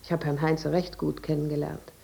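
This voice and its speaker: Dark-voiced, creaky resolute wife. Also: female voice, low-pitched, little pitch movement, a little fast. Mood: sad, resolute. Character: estranged middle-aged wife, gently resolute. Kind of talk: 1950s German radio drama acting.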